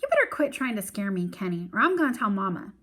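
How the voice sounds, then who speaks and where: A woman's voice: medium pitch, big pitch swings, fast talker, fairly bright tone. A woman voicing a bossy, exasperated little girl in a storybook read-aloud, dramatic and demanding, scolding like her mother.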